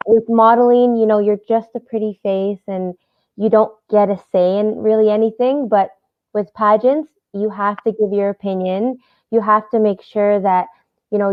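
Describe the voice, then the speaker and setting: An earnest young woman talks through her answers in a relaxed interview, pausing on fillers and laughing lightly now and then. Her voice sits at a middle pitch. It is recorded dry and slightly dull. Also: dry recording. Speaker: pageant titleholder, interview guest.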